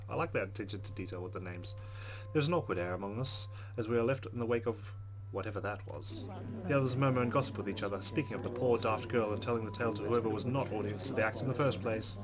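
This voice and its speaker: A man hosting a let's play, reading game narration aloud expressively and adding casual commentary. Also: on the low side. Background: game music.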